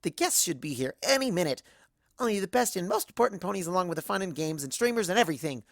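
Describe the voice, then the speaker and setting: A woman's voice: on the low side, sing-song, fast, gravelly, dry recording, clean studio sound. A woman reading a first-person cartoon fan story aloud, performing the narration in character.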